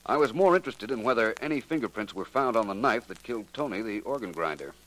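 Suave low-voiced private detective. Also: male voice, lively intonation, quick pace, a bit bright, poor audio. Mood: cool, probing. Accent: American. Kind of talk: 1940s American radio drama acting. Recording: old 1940s radio recording.

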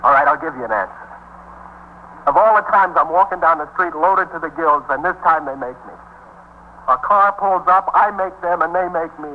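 An actor playing a cornered, self-pitying small-time drug dealer from the streets, rambling and griping in a 1950s radio drama. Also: male voice, a little high, moderate pace, lightly acted. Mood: resigned, animated. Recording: muffled 1950s radio recording, faint hum.

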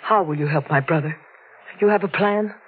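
A woman's voice: low-pitched, normal speed, a little hiss or hum, lightly acted. A woman playing an earnest, devoted young sister in a 1940s radio drama. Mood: pleading. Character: earnest, pleading young sister of a condemned man. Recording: tinny old radio recording.

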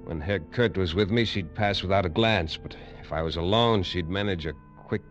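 A man narrating a 1950s adventure radio drama in the first person, with tense, hard-boiled delivery. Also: sits low. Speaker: lead actor and narrator. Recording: dull old radio recording, faint music.

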